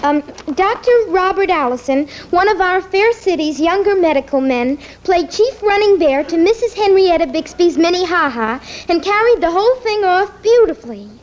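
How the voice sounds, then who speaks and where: Proper, slightly hesitant young daughter, very high voice, muffled old radio sitcom sound. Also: female voice, lively intonation, normal speed, few pauses. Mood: reading aloud proudly. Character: polite, earnest young daughter devoted to her father. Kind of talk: 1950s radio sitcom acting.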